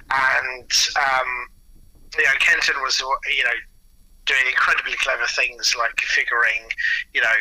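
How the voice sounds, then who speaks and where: A man's voice: mid-range voice, bright, forceful tone, rough recording. A British man chatting enthusiastically as an interview guest, telling stories in a casual way. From Britain. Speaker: interview guest, audio producer and actor.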